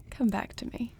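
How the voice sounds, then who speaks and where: A woman playing a gracious, resolute dragon queen in an intimate audio roleplay, speaking to her spouse with measured, formal phrasing and soft affection. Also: slight background noise, close to an everyday voice. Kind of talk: intimate audio roleplay acting, addressing the listener.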